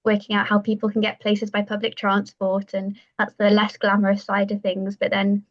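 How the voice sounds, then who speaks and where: Flat-toned young woman, lo-fi. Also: medium pitch, moderate pace. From Pembrokeshire, West Wales. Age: young adult. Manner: chatty, full of "um", "like" and "kind of". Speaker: guest, university bird society president. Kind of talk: podcast interview.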